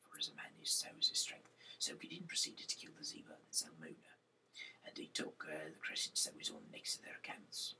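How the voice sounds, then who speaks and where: Male amateur scripture reader: breathy and whispery with a forceful edge, slightly off-mic, stumbling on names. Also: rumbling low, big pitch swings, a little fast, distant mic, poor audio. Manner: nonstandard grammar, stumbles over names. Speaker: reader of Bible chapters. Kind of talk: amateur reading aloud of scripture.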